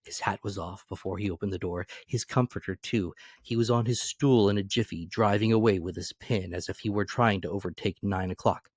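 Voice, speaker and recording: A man narrating a classic novel as a dramatic reading, expressive and animated. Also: lowish voice, brisk, hardly any pauses, dry recording.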